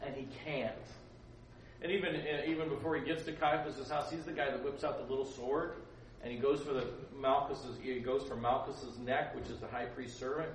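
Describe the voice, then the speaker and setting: Animated preacher, echoey room. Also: male voice, mid-range voice, normal speed. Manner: acts out voices, laughs often, chatty asides. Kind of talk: animated storytelling sermon. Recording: roomy echo, distant mic, background noise.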